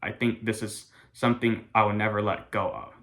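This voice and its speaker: A young man from the United States giving a prepared class speech in an earnest, careful, halting way. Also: fairly low pitch, steady tone, a bit bright. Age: young adult. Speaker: college student.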